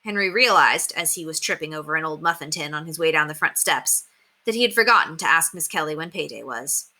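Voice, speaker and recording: A woman reading a children's story aloud, narrating expressively in a clear, animated way. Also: low voice, fairly quick. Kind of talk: expressive scripted narration with character voices.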